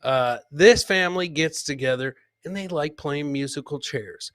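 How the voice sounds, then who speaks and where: Male comedy host for live clip commentary. Bright, punchy, slightly raised voice, teasing delivery, repeats the punchline, close and dry sound. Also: on the high side, normal speed, dry recording.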